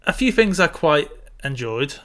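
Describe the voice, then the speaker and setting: A man's voice: on the high side, big pitch swings, measured pace, fairly bright tone. A man in his thirties, co-hosting a casual film-review chat from home over a video call, chatty and wry, arguing his points. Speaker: podcast co-host. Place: home room.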